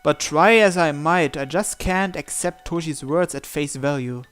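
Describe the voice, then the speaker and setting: A man with a fairly high voice reads a visual novel aloud. He moves between narration and acted dialogue, with music playing underneath. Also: unhurried, a bit bright. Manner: acts out the protagonist's lines. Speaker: video host reading a visual novel aloud. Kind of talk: dramatized reading of narration and dialogue.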